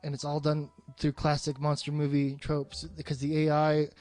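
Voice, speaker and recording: A man's voice: on the high side, completely flat delivery, moderate pace, darkish tone, no echo, soft background music. A man hosting a podcast in a casual, rambling movie discussion, swearing freely and sounding enthusiastic. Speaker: podcast host.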